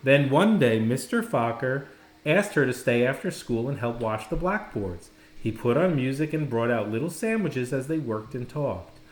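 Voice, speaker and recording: A man narrating a children's storybook expressively, with dramatic, deliberate phrasing and pauses. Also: mid-range voice, expressive. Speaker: storybook reader. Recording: roomy, echoing indoor sound.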